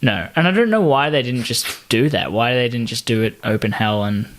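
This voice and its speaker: A man with an average-pitched voice co-hosts a casual podcast. He talks bluntly and swears freely as he banters about films and games. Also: brisk, a little hiss or hum. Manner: blunt, foul-mouthed. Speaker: podcast co-host.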